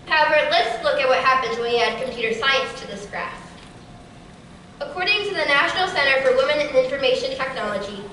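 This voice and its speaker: A teenage girl giving a prepared keynote talk in a large auditorium, with a steady, rehearsed delivery. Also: sits high, slight background noise. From Kansas City area. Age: teens. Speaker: keynote speaker. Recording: echoey hall from far off.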